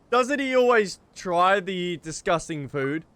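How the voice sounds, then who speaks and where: A woman's voice: medium pitch, wildly swooping pitch, slow. A woman gaming streamer giving chatty, reactive, casual commentary during a playthrough. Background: game music.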